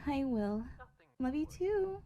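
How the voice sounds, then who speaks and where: A woman playing a gentle, soft-spoken young female pony in a fan fiction audio drama. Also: high voice, big pitch swings, slow, exaggerated voice. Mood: affectionate.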